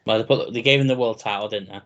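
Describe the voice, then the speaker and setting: Man, thirties, very deep low voice, relaxed chatty podcast co-host. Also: fast talker, muffled recording. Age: 30s. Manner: relaxed, chatty, laughs easily. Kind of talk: casual wrestling chat.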